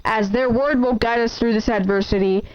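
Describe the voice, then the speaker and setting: A woman's voice: a little high, steady tone, moderate pace, clear, resonant tone, natural voice. A woman playing a devout preacher who delivers a formal, solemn scripted sermon, urging a troubled flock to keep faith. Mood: exhorting. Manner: formal, exhortative. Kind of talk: scripted sermon in analog horror drama. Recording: dull, noisy lo-fi broadcast sound.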